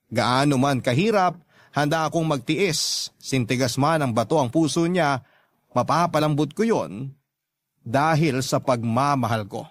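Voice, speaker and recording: A man's voice: medium pitch, no room sound. A man with a Filipino accent narrating a love story in the first person as a radio storyteller, earnest and sentimental.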